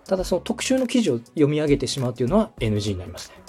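Polite man explaining things quickly, lively pitch. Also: soft background music. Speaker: host, radio director coaching podcast making. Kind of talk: explanatory coaching conversation.

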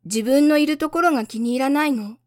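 A woman voicing a young prince, a curious, innocent small boy asking gentle questions in a storybook reading. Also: high-pitched, fairly quick, no echo, studio quality, pitched above the performer's own voice, lightly acted.

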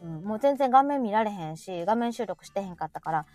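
A woman with a Kansai accent co-hosting a live audio show, chatting casually and telling stories in a chatty, animated way. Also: mid-range voice, fast talker, faint music behind. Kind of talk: casual chat.

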